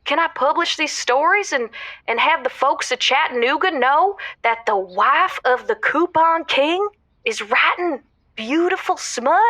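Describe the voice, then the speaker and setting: A woman playing a middle-aged housewife calling a radio show in an audio drama, rambling, earnest and excitable. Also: high-pitched, a little slow, no room sound, clean studio sound, full character voice. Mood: excited, proud. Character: chatty middle-aged Southern housewife caller, proud and giddy.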